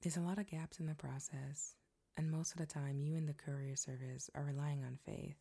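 Low, husky woman telling a story. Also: fairly even intonation, a little fast, mellow. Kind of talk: scripted personal story narration.

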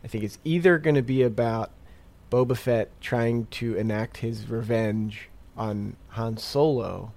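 A man chats on a podcast as a guest, his voice low and gravelly with plenty of fry. He rambles through his points with frequent fillers and restarts. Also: unhurried, darkish tone. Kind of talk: casual podcast chat.

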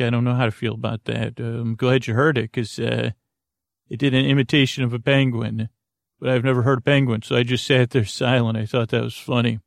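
A man hosting a bedtime podcast in a rambling, halting monologue, often interrupting himself and wandering off, with a creaky voice. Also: mid-range voice, no room sound, clean studio sound. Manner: meandering, halting, self-interrupting, digressive. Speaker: podcast host.

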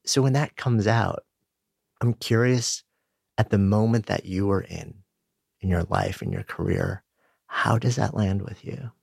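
A man's voice: on the low side, measured pace, vocal fry, darkish tone, husky, dry recording, studio quality. A man hosting an interview, asking thoughtful, probing questions. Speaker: interview host. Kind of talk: interview conversation.

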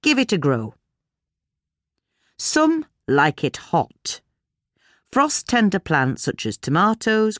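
A woman narrates from a script in a low voice. Her intonation moves around a lot, and the recording is dry and close, with no room sound. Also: no echo. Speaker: article reader.

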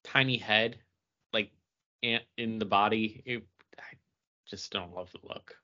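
A man co-hosting a podcast, chatting casually and haltingly about comic book movies. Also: on the low side, sharp tone, lo-fi sound. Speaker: podcast co-host.

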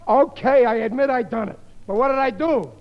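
A blustering, high-pitched working-class dad. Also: male voice, a little fast. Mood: hurt, bewildered. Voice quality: stammering. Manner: ungrammatical, flustered working-class speech. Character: blustering, bewildered working-class father, middle-aged, good-hearted dope. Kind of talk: 1950s radio comedy acting. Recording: muffled old 1950s radio broadcast.

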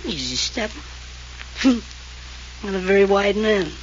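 A man's voice: sits high, lively intonation, slow pace. An actor playing a drunken, sardonic man of the house, drawling and chuckling in a 1940s radio drama, on a dull old recording. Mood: tipsy mocking. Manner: tipsy, drawling, chuckling mockery. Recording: dull lo-fi old radio transcription with faint hiss.

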